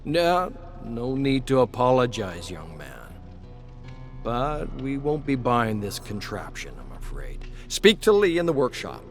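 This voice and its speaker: A man's voice: medium pitch, wildly swooping pitch, a little slow. A man voicing a wealthy, patronizing older factory boss in a dramatized game story. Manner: pompous, patronizing. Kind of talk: dramatized game lore narration. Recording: music under the voice.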